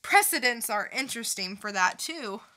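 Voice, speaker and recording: A woman acts out a defiant young goddess from a comic book, put on trial. The voice is high and bright, with lively, theatrical swings. Every line is sardonic, smug and mock-polite. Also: moderate pace, slight background noise. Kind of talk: comic book dramatic reading.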